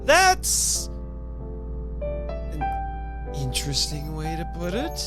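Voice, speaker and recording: An exasperated male team leader, played by a man in an audio drama, scolds and apologizes in a fairly high, very animated voice. He speaks slowly and haltingly, with music behind him. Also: full character voice. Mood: awkward, placating. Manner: expressive, sighing, hesitant. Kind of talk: fan audio drama acting. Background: background music.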